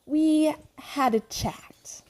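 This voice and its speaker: A woman's voice: high-pitched, extremely slow, darkish tone. A woman playing a prim, doting middle-aged mother in an audio drama, polite and sweetly probing.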